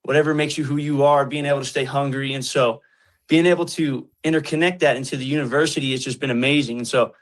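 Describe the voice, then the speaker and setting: A man in his twenties from Colorado, speaking earnestly in casual conversation with halting restarts and many fillers. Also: mid-range voice, fairly even intonation. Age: 20s. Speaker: guest, community founder.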